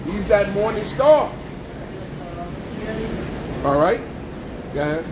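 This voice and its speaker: A man preaching on the street, forceful and repetitive, firing rhetorical questions at passers-by. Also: high voice, sing-song, slow pace, roomy sound, background noise. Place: outdoors on a street with passing traffic.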